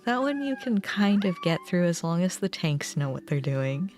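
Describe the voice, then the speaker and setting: A woman's voice: on the low side, sing-song, moderate pace, darkish tone, faint music behind. A woman livestreamer chatting casually with viewers in a rambling, self-deprecating way. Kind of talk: casual gaming stream chat.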